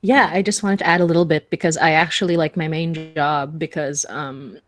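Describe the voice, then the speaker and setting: Low-voiced woman on a casual panel. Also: fairly even intonation, fairly quick, dry recording. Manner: self-deprecating, candid. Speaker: podcast creator and animator, panelist.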